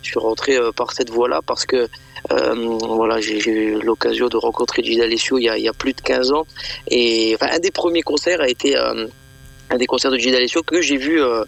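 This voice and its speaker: Guy from eastern france on the radio, kinda gravelly, lots of euh and stumbling restarts. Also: medium pitch, steady tone, fairly quick, a bit bright. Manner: hesitant, many fillers and repeated words. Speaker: event organizer, guest. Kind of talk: radio interview.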